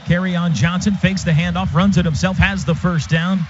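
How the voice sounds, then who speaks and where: A man's voice: high voice, fairly even intonation, moderate pace. A man doing live football play-by-play on radio in clipped, punchy phrases. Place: radio booth at a football stadium. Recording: dull lo-fi radio broadcast, clear stadium noise.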